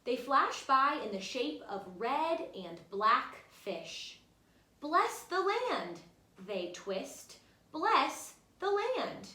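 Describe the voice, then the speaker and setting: A woman reads a children's picture book aloud in an echoey room. Her voice is fairly high and swings up and down in an animated way. She speaks as a teacher guiding young listeners along. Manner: expressive, instructive, addresses young listeners directly. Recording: roomy, echoey room sound.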